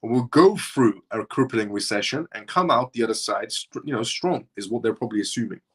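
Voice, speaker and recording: A man's voice: mid-range voice, fairly quick, a bit bright, husky. A man originally from Iran, hosting a live show and talking through his points in a rambling way that circles back on itself. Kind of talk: casual livestream commentary.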